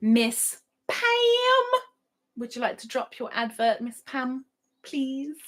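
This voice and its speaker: A woman's voice: sits high, very animated, slow pace, fairly bright tone. A woman hosting a casual livestream, chatting playfully with sing-song asides and reading out notices to viewers. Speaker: livestream host. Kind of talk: casual live chat, reading out viewers' adverts.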